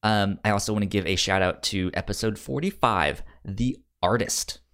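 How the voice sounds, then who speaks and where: A man's voice: on the low side, normal speed, fairly bright tone. A man hosting a podcast in casual review chat, rambling a little as he thinks aloud. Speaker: podcast host.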